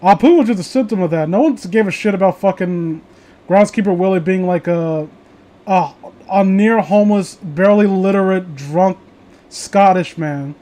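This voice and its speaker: A man with a high voice talks casually among friends. He rambles through his opinions and swears freely as he goes. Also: moderate pace, warm tone. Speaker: host of a reading show.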